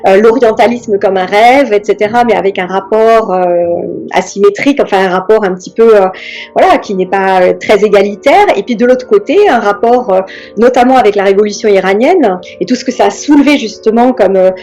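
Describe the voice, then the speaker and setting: A middle-aged woman from France, speaking as an interview guest in a reflective, hesitant way with many pauses and restarts. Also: medium pitch, a little mellow, soft background music.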